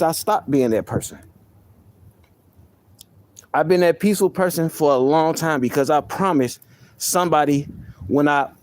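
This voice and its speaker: A man with a soft voice, venting on a live stream in a heated, profane way, often repeating himself. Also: on the high side. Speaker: livestreamer.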